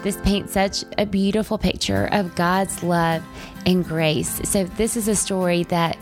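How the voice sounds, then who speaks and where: A woman's voice: lowish voice, steady tone, unhurried, soft background music. A woman introducing a guest speaker in an earnest, scripted way.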